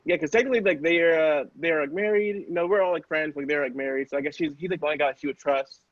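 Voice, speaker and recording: A man's voice: fairly high pitch, racing, no echo. A man in a casual podcast chat, rambling along and peppering his talk with "like". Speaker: podcast cast member. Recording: slightly muffled, lo-fi audio.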